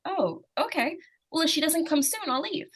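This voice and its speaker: A woman plays a young girl in an old-time radio thriller. Her voice sits fairly high and swings widely in pitch. She is artless and hesitant, yet keeps probing with innocent-sounding questions. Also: lively intonation, moderate pace. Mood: curious, probing. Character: inquisitive young girl, innocent-seeming, probing, easily frightened. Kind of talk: 1940s radio suspense acting.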